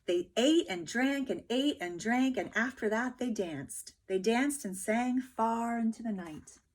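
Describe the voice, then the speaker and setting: Husky woman reading a story aloud. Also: a little high, a little slow. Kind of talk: expressive picture-book read-aloud with questions to listeners.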